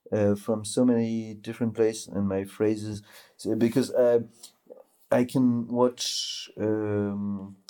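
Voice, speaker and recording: A man with a French accent talks slowly and haltingly, stopping and restarting often. His voice is mellow and a little low. This is a casual podcast chat over a call. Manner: halting, many fillers and restarts. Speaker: podcast co-host.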